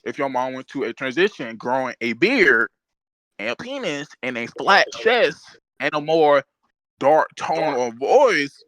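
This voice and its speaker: A man's voice: medium pitch, sing-song, unhurried, bright, forceful tone, no echo, low-quality recording. A man in a casual online debate, blunt and slangy, pressing his opponent with questions.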